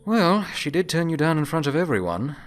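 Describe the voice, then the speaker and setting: A man's voice: a little high, big pitch swings, brisk, faint music behind. A man playing a doubtful, cautioning pony who is a concerned friend in an audio story. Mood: sceptical. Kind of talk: audio story character dialogue.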